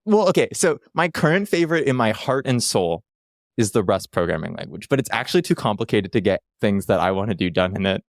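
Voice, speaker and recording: Low-voiced man from Canada, rambling and joking. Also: big pitch swings, brisk, vocal fry, dry recording, clean studio sound. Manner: rambling, self-deprecating jokes. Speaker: podcast guest. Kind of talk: casual podcast chat.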